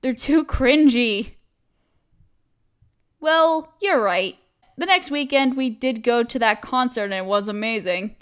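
A cute young female barista played by a woman with a high, girlish voice. She chats expressively in a casual audio roleplay, a friendly coworker who teases and complains playfully. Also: expressive, slow pace, long pauses, clean tone. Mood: fond, teasing. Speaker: voice actress. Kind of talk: scripted one-sided audio roleplay, casual chat.

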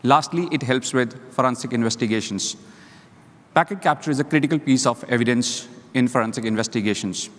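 A man's voice: mid-range voice, fairly quick. A man on a conference stage giving a structured, list-like talk as a panelist on a lapel mic. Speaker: panelist, security leader. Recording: reverberant hall.

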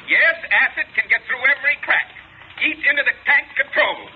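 Brave space colonel for fifties radio serial: clipped, declamatory, very high pitch, tinny old recording. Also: male voice, moderate pace. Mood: excited. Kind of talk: 1950s radio adventure acting. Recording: tinny, noisy 1950s radio broadcast.

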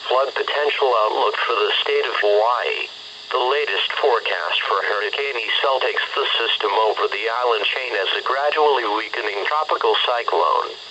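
A synthetic male text-to-speech voice reading a formal, measured weather bulletin as a radio announcer, heard through a radio speaker. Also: medium pitch, background noise. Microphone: camera picking up a radio speaker.

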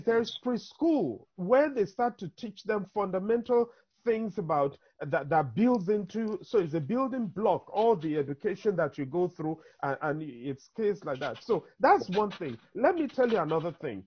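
A middle-aged man with a Nigerian accent speaks as a panelist in an online discussion. His voice sits high and swings widely in pitch as he lays out his points in an emphatic, teacherly way. The sound is dull, like a low-quality video call. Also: sing-song, normal speed, slightly rough. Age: 50s. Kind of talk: impassioned speech in an online town hall discussion. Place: indoor room, on a video call.

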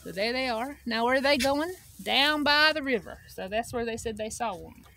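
Bright-voiced woman reading to kids outdoors. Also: a little high, normal speed. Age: middle-aged. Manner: animated, asking the listeners simple questions. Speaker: storyteller reading a picture book to children. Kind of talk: expressive children's read-aloud with asides to young viewers. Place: outdoors beside a wire poultry pen. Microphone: camera a step or two away. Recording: outdoor noise with clucking poultry. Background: chickens and outdoor ambience.

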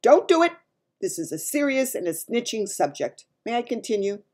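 A woman's voice: mid-range voice, lively intonation, brisk, dark tone, pitched above the performer's own voice, close to an everyday voice. A woman voicing a big male giant from a children's storybook, blustery and emphatic, with muddled grammar and invented words. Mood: cross, scolding. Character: big friendly giant man, blustery, mangles his words.

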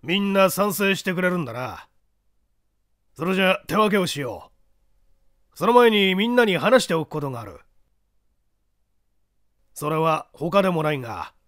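A man voicing a bold young ringleader of a workers' gang in an audiobook, rough, commanding and threatening. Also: sits high, fairly bright tone, dry recording, studio quality, pitched above the performer's own voice.

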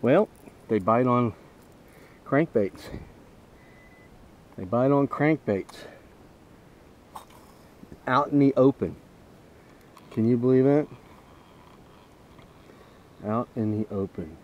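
A man's voice: mid-range voice, animated, slow, long pauses, dull audio. A man giving casual, folksy running commentary while fishing from a small boat, as if to himself and his viewers. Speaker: fishing vlogger. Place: outdoors on a small boat on a lake. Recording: faint outdoor boat and water noise. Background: boat and water noise.

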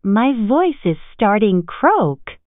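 Female phrase reader, unhurried and lively in pitch, phone-like sound. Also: no room sound. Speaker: phrase reader in a language lesson. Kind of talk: repeating phrases for learners. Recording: dry, phone-like narrow band.

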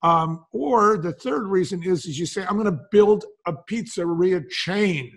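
A man's voice: high-pitched, unhurried, fairly bright tone. A man speaking as an interview guest, explaining things in a folksy, emphatic way and answering his own questions. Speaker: guest, business advisor and brand founder.

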